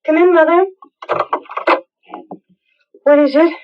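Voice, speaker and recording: High-voiced, bitter scorned young woman. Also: steady tone, slow. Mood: guarded. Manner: theatrical, brooding. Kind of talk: old-time radio drama acting. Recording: dull radio recording with music behind.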